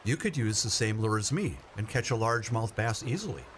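A man's voice: fairly low pitch, expressive, a little fast, a bit bright. A man playing an awkward, well-meaning family fisherman in a radio play, hesitant and plainspoken, over noisy ambience. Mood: awkward, trying. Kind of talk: naturalistic radio play acting. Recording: noisy ambience with vehicle sounds.

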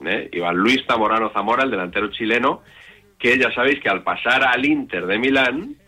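A man's voice: medium pitch, brisk, pushed and bright, slightly rough. A man hosting a football radio show, telling anecdotes in a wry, digressive way. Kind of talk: casual sports radio chat.

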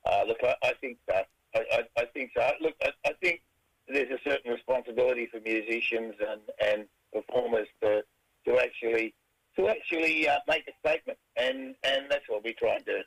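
Raspy Australian rock singer, middle-aged man. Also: medium pitch, fairly quick, fairly bright tone, low-quality recording. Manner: rambling, many fillers and restarts. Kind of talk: casual interview answers.

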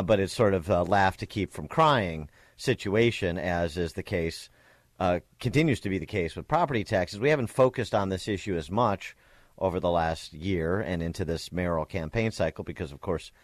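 Low-voiced radio guy hosting morning talk, wry and chatty, dry studio sound. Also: no room sound. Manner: wry, conversational, rattles off phone numbers. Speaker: radio talk show host. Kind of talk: casual talk radio commentary. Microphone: studio microphone.

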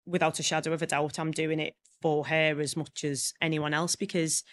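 A woman with a Liverpool accent, a public speaker and trainer, telling her story as a guest in a podcast interview. She speaks as a lively storyteller and acts out the voices of people she quotes. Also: sits low, moderate pace. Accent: Liverpool (Scouse). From Liverpool, England.

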